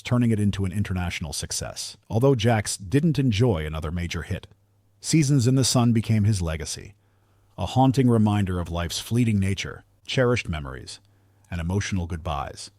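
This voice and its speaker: A man's voice: fairly low pitch, animated, a little fast, a little mellow, dry recording, studio quality. A man narrating a scripted countdown in a polished, earnest delivery, as the narrator.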